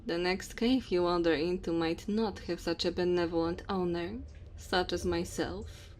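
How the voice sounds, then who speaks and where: ASMR roleplay casting: commanding, teasing she-dragon in human shape woman acting, unhurried theatrical delivery, faint background ambience. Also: lowish voice, fairly even intonation, darkish tone, natural voice. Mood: curious, amused. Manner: slow, teasing, theatrical, addressing the listener. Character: dominant, teasing female dragon with horns and human looks. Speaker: ASMR voice performer. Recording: faint cave ambience noise. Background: cave and fire sound effects.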